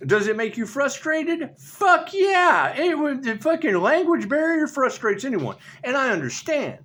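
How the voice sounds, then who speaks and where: A man's voice: very high-pitched, very animated, unhurried, fairly bright tone. A man rambling sarcastically as a tarot reader, swearing often and laughing between remarks. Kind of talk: rambling monologue.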